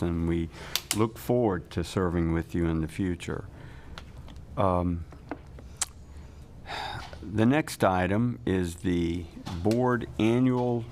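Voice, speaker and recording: A man's voice: sits low, darkish tone, slight background noise. A man chairing a college board of trustees meeting, formal and procedural.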